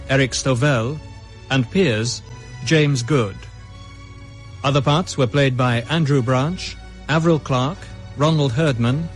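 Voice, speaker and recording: A man with a British accent reading scripted radio drama credits as an announcer, formal and measured. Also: mid-range voice. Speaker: continuity announcer.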